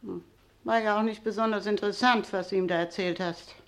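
An actress playing a bossy, sceptical wife in a German radio drama, speaking curtly and domineeringly, in a roomy studio sound. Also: female voice, mid-range voice, fairly even intonation, a little fast, natural voice. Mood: curt, unimpressed. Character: bossy, sceptical wife ruling her husband.

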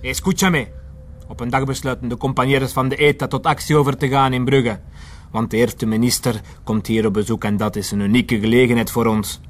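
An actor playing a menacing militant in an audio drama, taunting and deliberate. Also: male voice, mid-range voice, steady tone, close to an everyday voice. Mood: angry, accusing. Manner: taunting, deliberate, switching between Dutch and Spanish. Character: menacing Basque militant, interrogating man.

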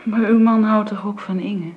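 A woman playing a gentle young woman. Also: mid-range voice, steady tone, moderate pace, lightly acted. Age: 20s. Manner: gentle, reassuring. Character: kind young woman of twenty-two, gentle. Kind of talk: radio drama acting. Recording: dull lo-fi old radio recording.

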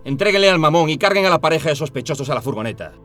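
An actor playing a harsh, contemptuous police officer in a radio drama, barking orders during a night raid. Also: male voice, fairly high pitch, expressive, rapid-fire, a bit bright, faint music behind. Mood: menacing. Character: harsh bullying male police officer, middle-aged.

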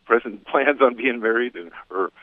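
A man's voice: mid-range voice, normal speed, dry recording. Male caller on a tinny phone line. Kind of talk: phone call to a radio show.

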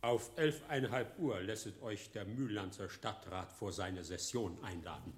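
Actor as echoing, officious town messenger, noisy hall. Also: male voice, fairly low pitch, measured pace, lightly acted. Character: male town council messenger issuing a formal summons. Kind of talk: formal announcement in a radio play. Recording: reverberant hall, distant, loud background noise.

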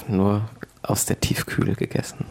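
A man's voice: moderate pace, a little mellow, slight background noise. A young man co-hosting a podcast, throwing in ironic, mocking jokes. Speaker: podcast co-host. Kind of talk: casual banter.

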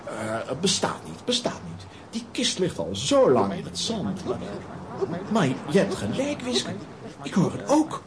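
An actor playing an excitable adventurer in a children's radio play, with expressive, exclamatory delivery. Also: male voice, on the high side, a little slow, crowd noise. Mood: amazed, reassuring.

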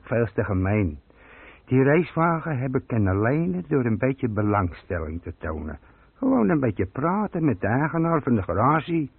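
An actor playing a talkative, self-satisfied older burglar in a radio play, colloquial and boastful. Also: male voice, mid-range voice, dry recording. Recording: dry, muffled telephone-like old radio recording.

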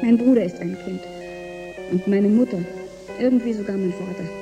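A woman's voice: mid-range voice, lightly acted. An actress playing a disillusioned, sardonic seventeen-year-old girl in a German science fiction radio drama.

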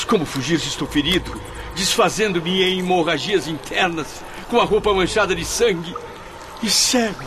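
An actor in a radio drama playing an anguished blinded man trapped in torment, theatrical, halting and desperate. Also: high voice, noisy background. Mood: ashamed, fearful. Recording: dramatic music under the voice.

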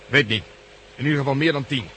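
An actor playing a brisk, level-headed male police inspector in a radio drama, talking in clipped, businesslike phrases. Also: sharp tone, natural voice. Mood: urgent, frustrated. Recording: noisy radio play recording, engine-like rumble.

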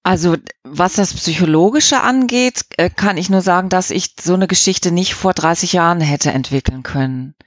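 A woman's voice: fairly low pitch, normal speed, hardly any pauses, dry recording, muffled recording. A middle-aged woman giving earnest, thoughtful answers as an interview guest.

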